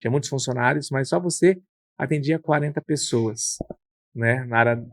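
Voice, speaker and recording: A man's voice: medium pitch, normal speed, no room sound, studio quality. A man hosting an interview, prompting with questions and recalling shared memories. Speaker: podcast host, interviewer. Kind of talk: casual interview.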